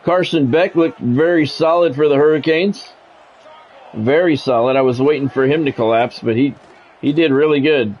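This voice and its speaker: Higher-voiced man calling a football video game. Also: moderate pace, lo-fi sound, a little hiss or hum. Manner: conversational, drifting between announcer calls and musing. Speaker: streamer and play-by-play commentator. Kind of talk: casual sports game commentary mixed with chat.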